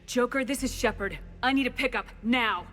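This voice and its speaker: A woman's voice: fairly high pitch, moderate pace, a bit bright, soft background music, natural voice. An actress playing a tough, resolute female military commander in a video game, with firm, urgent delivery. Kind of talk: dramatic video game acting.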